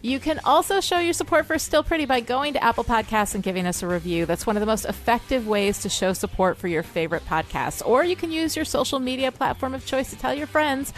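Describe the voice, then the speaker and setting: A woman's voice: fairly high pitch, animated, fast, hardly any pauses, faint music behind. A woman chatting casually and emphatically as a podcast co-host, informal and agreeable. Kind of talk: casual chat about a TV show.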